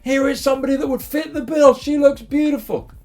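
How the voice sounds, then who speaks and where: A man's voice: on the high side, moderate pace, darkish tone, soft background music, lightly acted. A man voicing an eager, lovestruck young male traveller in a chatty, gushing, informal way, as in a told story. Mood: thrilled.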